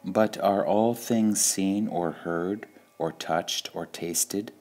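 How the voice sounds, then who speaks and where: Deep slow-voiced man reading sayings. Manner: calm, measured, with pauses between phrases. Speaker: reader of spiritual sayings. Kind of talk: slow meditative reading of quotations.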